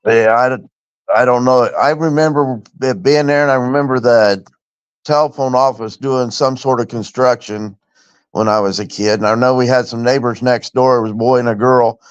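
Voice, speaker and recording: A man's voice: medium pitch, steady tone, normal speed, smooth, no echo. A middle-aged man from Indiana, a former truck driver, reminiscing in plain casual chat. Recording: dull, dry, lo-fi stream audio.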